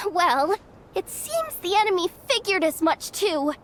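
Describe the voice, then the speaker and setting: Super high cutesy fairy sidekick from a game, fretful little kid sound. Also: female voice, slow, a little hiss or hum, full character voice. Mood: flustered reporting. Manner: fretful, cute, expressive. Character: small, anxious, childlike fairy companion. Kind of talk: scripted game dialogue.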